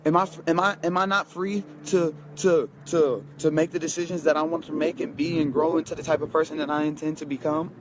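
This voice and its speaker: A man's voice: a little high, flat delivery, fairly quick, hardly any pauses, noisy background. A man in his twenties giving a casual motivational talk, emphatic, repeating himself and speaking straight to his viewers. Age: 20s. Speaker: motivational vlogger.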